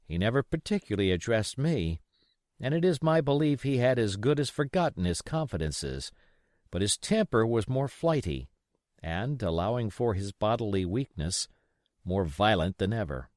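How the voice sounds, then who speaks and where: A man's voice: on the low side, very animated, moderate pace, darkish tone, dry recording, studio quality. A man reading a classic adventure novel aloud as scripted literary narration. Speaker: audiobook reader.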